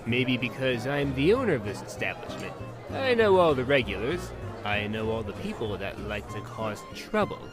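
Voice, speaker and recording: A man's voice: medium pitch, big pitch swings, normal speed, over music, close to an everyday voice. A man playing a brash wasteland saloon owner. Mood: probing. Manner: swaggering, teasing, threatening. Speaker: bar owner. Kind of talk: audio drama acting.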